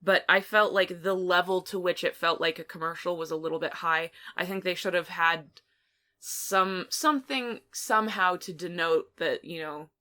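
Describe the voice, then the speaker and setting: Female podcast co-host, lowish pitch, bright tone, loose conversational discussion. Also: moderate pace. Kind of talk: casual film discussion.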